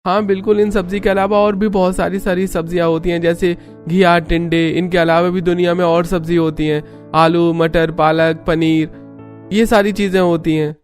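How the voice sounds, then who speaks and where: A man's voice: high voice, level tone, normal speed, faint music behind, lightly acted. A man voicing a fed-up grown son. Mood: insistent. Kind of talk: cartoon voice acting.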